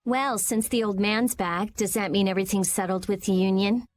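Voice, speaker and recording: A woman's voice: mid-range voice, fairly even intonation, moderate pace, close to an everyday voice. An actress playing a cool-headed, caring young woman adventurer in a video game, with a frank delivery. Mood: skeptical. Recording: music under the voice.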